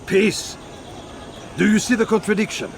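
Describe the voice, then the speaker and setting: An actor playing a proud, worldly crusader king in a video game, wry and philosophical, with a measured, grandiose manner. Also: high voice, gravelly, a little hiss or hum. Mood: scornful, philosophical. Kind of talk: dramatic video game acting.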